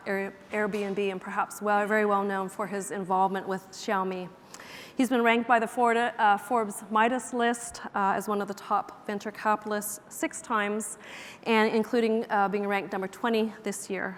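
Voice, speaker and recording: A woman hosting a stage panel, introducing guests in a measured, polite way with some fillers. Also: medium pitch, fairly bright tone. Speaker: moderator host. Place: museum auditorium stage. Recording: reverberant auditorium, faint background noise.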